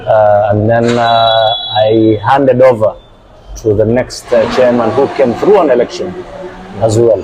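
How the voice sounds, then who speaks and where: A middle-aged man from Uganda, a football club director, answering interview questions with many hesitant filler pauses. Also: mid-range voice, fairly even intonation, background noise.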